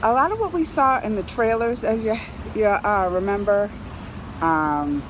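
Woman, mid-pitched voice, casual vlog reviewer, excitable, emphatic, repetitive phrasing, outdoor recording, faint traffic ambience. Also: measured pace. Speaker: movie reviewer. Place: outdoors on a high balcony over a city. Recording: outdoor city noise, passing cars. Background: urban traffic ambience.

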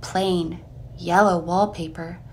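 A woman narrating a scripted horror story in a suspenseful, expressive manner with dramatic pauses. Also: lowish voice. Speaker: narrator of an audio play.

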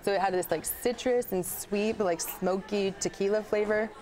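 A woman's voice: medium pitch, moderate pace, darkish tone, slight background noise. A young woman, a guest reviewer, chatting enthusiastically about dishes at a studio dinner table. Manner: bubbly, enthusiastic. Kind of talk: casual chat. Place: TV studio set at a dining table.

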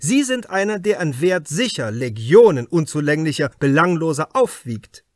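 A man's voice: on the high side, big pitch swings, normal speed, dry recording. A male reader plays a shrewd detective from a crime audiobook. He speaks formally and insistently, in a raised, emphatic voice, recorded dry and close. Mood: probing, insistent. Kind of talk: audiobook character dialogue.

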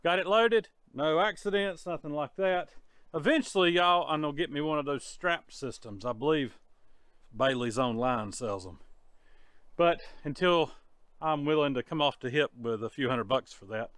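A man's voice: on the high side, normal speed, a bit bright, clear, resonant tone, no room sound. A middle-aged man with a Southern US accent, chatting casually to the camera in a folksy, easygoing way while working outdoors on his homestead. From North Carolina. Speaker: homesteader vlogger. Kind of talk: casual vlog narration. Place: outdoors in a wooded homestead yard. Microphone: camera held close at arm's length.

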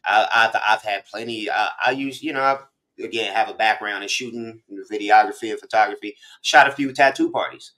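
A man's voice: on the low side, a little fast, sharp tone, low-quality recording. A middle-aged man with an American accent hosts a casual hip hop interview, speaking in slang and thinking aloud as he goes. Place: small room full of memorabilia, indoors, on a video call. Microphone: video call.

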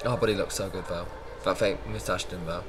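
A man's voice: low voice, steady tone, fairly quick. Chatty young man reacting at webcam. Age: young adult. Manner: chatty, exclaiming, talks over the clips. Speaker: reaction video host. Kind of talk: casual reaction commentary. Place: small bedroom with shelves of figures. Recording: loud clip music under the voice. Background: loud music and dialogue from the episode.

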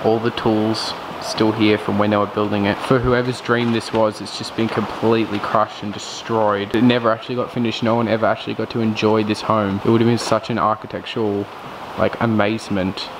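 A man narrating an urban exploration vlog in a casual, sometimes hushed way, with wry asides. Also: lowish voice, little pitch movement, a little fast, background noise. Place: abandoned half-built dome house outdoors and indoors.

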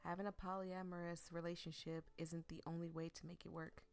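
A woman voicing a tender, teasing young boyfriend in a visual novel, gently and reassuringly. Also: on the low side, flat delivery, a little fast, clear, resonant tone, natural voice. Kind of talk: visual novel read aloud.

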